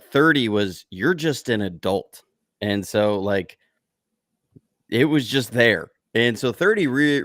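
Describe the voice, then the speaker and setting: Low-voiced man turning forty, rambling. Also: clean tone, dry recording, clean studio sound. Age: 30s. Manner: rambling, self-correcting, reflective. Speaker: podcast co-host. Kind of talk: casual chat.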